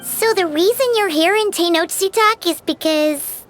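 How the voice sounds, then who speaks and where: A woman voicing a tiny, childlike fairy companion in a video game, squeaky and cheeky with a sassy manner. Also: moderate pace. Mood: curious. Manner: sassy, third-person self-reference. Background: background music.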